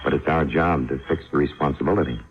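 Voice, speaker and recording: An actor playing a weary, gruff state police captain in a radio drama, terse and businesslike. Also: male voice, extremely low pitch, fast. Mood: weary, polite. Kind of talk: 1950s radio drama acting. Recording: dull, faintly noisy old radio recording.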